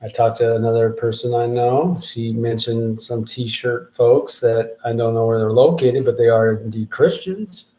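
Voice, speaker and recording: Guy rambling thru a casual update on his own show, mid-pitch, thinking out loud as he goes. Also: steady tone, unhurried, hardly any pauses, low-quality recording. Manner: rambling, thinking aloud with restarts. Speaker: podcast host and rap artist.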